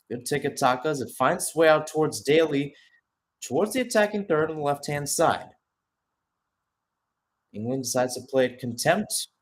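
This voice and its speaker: A man gives live soccer play-by-play in a radio style, with a mid-pitched voice. He narrates in short, clipped phrases as the commentator following the match.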